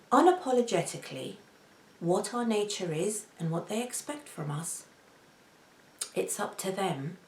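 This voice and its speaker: A middle-aged woman speaks very softly and unhurriedly, with a gravelly edge to her fairly low voice. She talks to the camera from a seated spot in her living room, and the recording carries a noticeable room echo. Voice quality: very soft voice. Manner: speaks slowly and gently, gestures with both hands. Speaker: vlogger. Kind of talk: reflective personal talk to camera. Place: home living room, indoors. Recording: roomy echo.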